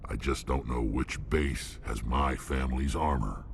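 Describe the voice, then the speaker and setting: Gravelly, extremely deep alien warrior. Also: male voice, a little hiss or hum, heavily acted. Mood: grim, determined. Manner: blunt, slow, grudging. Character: gruff, proud alien warrior mercenary, huge and battle-worn. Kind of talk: video game cutscene acting.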